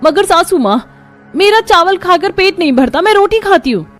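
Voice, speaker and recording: A woman's voice: very high-pitched, lively intonation, normal speed, faint music behind, voice pushed up. A woman voicing a young, scheming daughter-in-law in a cartoon story, complaining in an exasperated, whiny way. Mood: alarmed protest. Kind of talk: Hindi cartoon story acting.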